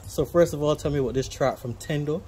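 A woman's voice: deep voice, fast talker, background noise. A woman interviewer asking questions in a casual, conversational style.

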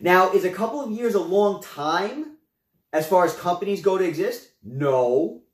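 A young man reviews home gym gear, talking to his viewers in a lively, gesturing way. His voice sits high for a man. The camera mic is a few feet off, so the small room's echo comes through. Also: a little slow. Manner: animated, gestures a lot, addresses viewers as guys. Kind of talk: casual product review to camera. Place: small room at home, indoors. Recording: roomy echo.

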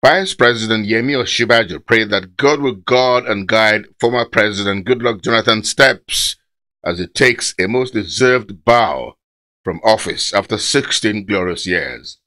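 Male newsreader, measured and formal, bright, close dry sound. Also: on the low side, no echo. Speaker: news narrator. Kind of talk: scripted news voice-over.

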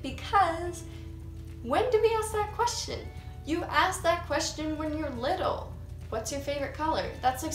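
A chatty eighteen-year-old woman with an American accent talks to her viewers, her voice sitting a bit high. She sounds a few feet from the camera in a small room with bounce off the walls, and faint music plays underneath. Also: reverberant, recorded from a distance, poor audio. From United States. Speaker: YouTuber. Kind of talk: casual get-to-know-me vlog. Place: small room with cinder block wall and couch, indoors. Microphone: camera mic a few feet away.

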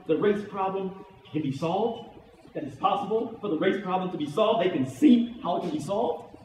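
A man's voice: high-pitched, a little mellow. A middle-aged man, an imam giving an emphatic sermon, repeating key phrases for weight. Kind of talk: sermon, Friday khutbah. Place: mosque prayer hall, indoors at a lectern. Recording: echoey hall from far off, faint noise.

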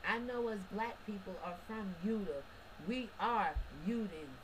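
A woman preaching in a loose, colloquial way, firing rhetorical questions at her listeners and stressing points hard. Her voice sits at an ordinary pitch for a woman. A clear wash of background noise runs under the home recording. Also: measured pace. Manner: emphatic, rhetorical, addresses listeners directly with frequent asides; casual colloquial speech with restarts and short laughs. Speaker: online religious commentator. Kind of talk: preachy religious commentary monologue.

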